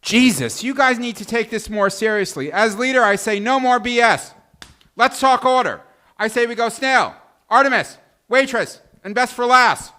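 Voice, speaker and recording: A man acting an exasperated, bossy, thin-skinned woman who leads a bowling team, indignant and forceful, in a comedy table read. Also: extremely high pitch, moderate pace. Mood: bossy, impatient.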